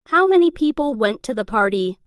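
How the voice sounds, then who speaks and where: A woman reading an English lesson, saying each practice phrase twice in a slow, even way. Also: on the high side, no room sound, studio quality.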